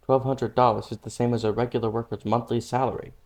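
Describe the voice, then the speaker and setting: A man's voice: medium pitch, little pitch movement, quick pace, darkish tone, close to an everyday voice. A man playing a challenging press reporter in an amateur manga dub, asking pointed, formal questions.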